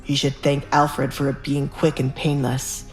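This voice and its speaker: A man's voice: medium pitch, completely flat delivery, measured pace, lightly acted. Vengeful cold duke played by a male actor, commanding and mocking, echoey room, music underneath. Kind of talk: audio drama acting. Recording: echoey voice over background music.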